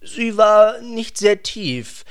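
A man's voice: high voice, big pitch swings, drawn-out words, clean tone. A man narrating a literary radio play in a dramatised storytelling style.

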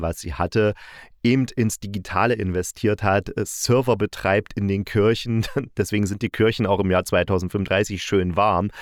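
A man's voice: fairly low pitch, dry recording, studio quality. A man giving a casual, colloquial and opinionated spoken review, retelling stories as a host. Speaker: host reviewing audio dramas.

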